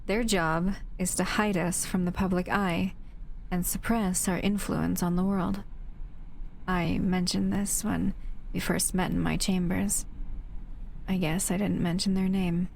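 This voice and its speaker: A woman playing a gentle, protective shadow monster in an intimate audio roleplay, speaking softly and affectionately to the listener. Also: on the low side, little pitch movement, unhurried, vocal fry, slight background noise. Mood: explaining, hopeful.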